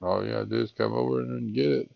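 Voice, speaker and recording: A man's voice: lowish voice, steady tone, fast, gravelly, no room sound. A man making a prank phone call, drawling and teasing in a mock-folksy way. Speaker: scambaiter.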